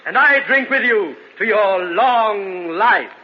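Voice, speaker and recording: A man's voice: high-pitched, expressive, slow pace. An actor playing a vengeful, grieving and slyly courteous nobleman in an old-time radio gothic drama. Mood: falsely solicitous. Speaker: narrator and lead actor. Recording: muffled, noisy old radio recording.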